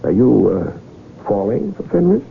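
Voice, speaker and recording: An actor in a 1970s radio drama playing a smooth, wry con artist who talks in a coaxing, deliberate way. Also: male voice, medium pitch, huge pitch swings, exaggerated voice. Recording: muffled, hissy 1970s radio broadcast recording.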